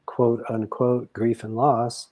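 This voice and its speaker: A man guiding a counseling conversation as a grief counselor, in a measured, deliberate way. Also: medium pitch, little pitch movement, a little mellow.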